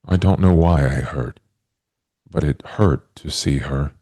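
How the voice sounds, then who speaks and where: A man playing a naive, gentle newborn man in a tabletop roleplay, speaking in simple, earnest, halting phrases. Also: rumbling low, husky, no room sound, studio quality, exaggerated voice. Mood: puzzled, earnest. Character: naive newborn man, gentle, childlike, literal-minded and puzzled.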